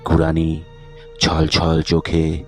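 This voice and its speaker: A man narrating an animated fairy-tale ghost story in a steady, unhurried storytelling manner. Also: rumbling low, soft background music.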